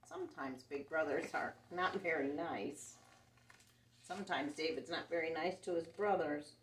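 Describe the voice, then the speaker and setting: Storytime host, female, middle-aged. Slow, animated picture-book read-aloud for young kids, recorded in a roomy space. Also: sits low, slight background noise. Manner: expressive, simple phrasing with exclamations and asides to children. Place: indoor room, seated before a dark backdrop. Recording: roomy, distant camera microphone.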